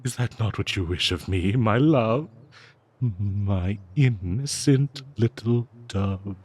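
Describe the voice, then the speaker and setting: A man's voice: lowish voice, a little mellow, exaggerated voice. A man playing a cursed talking dagger, possessive, lovestruck and bloodthirsty, in a melodramatic, cooing audio roleplay addressed to the listener. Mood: tender, obsessive. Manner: melodramatic, cooing, slow drawn-out delivery with manic giggles.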